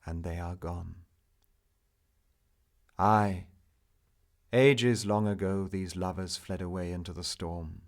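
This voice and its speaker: A man with a fairly low voice narrates verse. His delivery is slow and theatrical, swelling on key lines and falling hushed at their ends. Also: steady tone. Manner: measured, theatrical, with strong swells and hushed endings. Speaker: poetry narrator. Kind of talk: dramatic narrative poetry recital.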